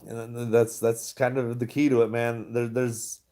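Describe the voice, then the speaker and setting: A man's voice: mid-range voice, little pitch movement, moderate pace, mellow. A man chatting casually to his viewers, rambling and laughing often. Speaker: stock vlogger. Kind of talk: casual livestream chat.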